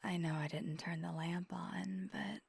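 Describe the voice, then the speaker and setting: Woman as vampire girlfriend, ASMR roleplay, whispered, tender and playful, unhurried, close and dry sound. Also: on the low side, fairly even intonation, dry recording, full character voice. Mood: shy, gentle. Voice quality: breathy, mostly whispered. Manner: slow, gentle, teasing, hesitant pauses.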